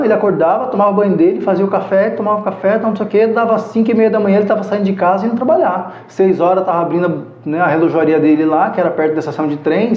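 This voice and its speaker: A talkative man in his thirties with a fairly high voice. He tells stories from his life in a casual way, laughing often and acting out what people said. The sound is echoey and a bit dull. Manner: chatty, laughs often, acts out quoted dialogue. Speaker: podcast guest. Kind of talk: casual storytelling.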